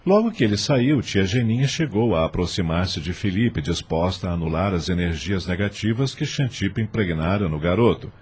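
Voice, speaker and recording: Deep, animated male radio-drama narrator. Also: normal speed, few pauses, a little hiss or hum. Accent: Brazilian. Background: music under the voice.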